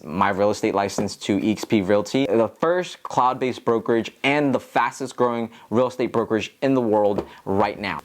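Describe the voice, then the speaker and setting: A man with an American accent talking casually to viewers as a tutorial host, in an earnest, encouraging, explanatory way. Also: lowish voice. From Philadelphia, United States. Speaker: real estate agent and video host.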